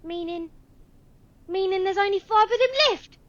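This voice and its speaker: A woman voicing a cheeky, excitable, foul-mouthed little Victorian boy in a radio play. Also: very high voice, normal speed, muffled recording. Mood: eager.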